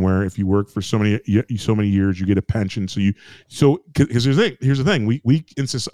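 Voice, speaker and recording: A man's voice: on the low side, darkish tone, clean tone, no echo, studio quality. An American man co-hosting a podcast, ranting in casual chat, emphatic and repetitive, with restarts and swearing. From United States. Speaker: podcast co-host.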